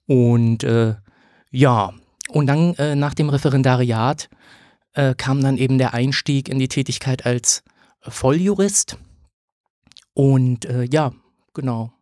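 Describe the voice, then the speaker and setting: A man in his thirties, a lawyer answering interview questions in a hesitant way, with many filler sounds and pauses. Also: medium pitch, darkish tone, no room sound, studio quality. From Germany. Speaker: podcast guest, lawyer.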